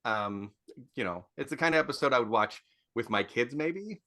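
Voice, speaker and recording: A man's voice: medium pitch, animated, normal speed, bright, forceful tone. A man giving his casual take as a podcast panelist. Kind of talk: casual review chat.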